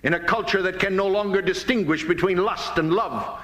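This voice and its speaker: An American man preaching in a dramatic, deliberate oratorical style, with emphatic swells, long pauses and hushed phrase endings. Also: sits high. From United States. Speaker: pastor, guest speaker. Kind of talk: fiery sermon-like political speech to a live audience.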